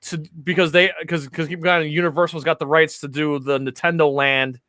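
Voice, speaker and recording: A man co-hosting a casual podcast chat who gets excited, laughs often and trips over his words. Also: a little high, normal speed, no room sound, studio quality. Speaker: podcast co-host.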